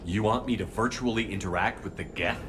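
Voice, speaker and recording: An actor playing a steady, resolute human military commander in measured video game dialogue. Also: male voice, on the low side, noisy background, natural voice. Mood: questioning, determined.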